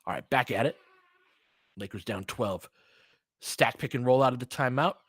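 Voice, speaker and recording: A man's voice: mid-range voice, normal speed, fairly bright tone, husky, no room sound, studio quality. A man giving live basketball play-by-play mixed with casual, long-winded analysis in a conversational, explanatory way.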